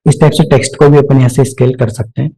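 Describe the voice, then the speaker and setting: A man with an Indian accent narrating a step-by-step software tutorial, explaining each action aloud as an instructor. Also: medium pitch, steady tone, fast, husky, poor audio.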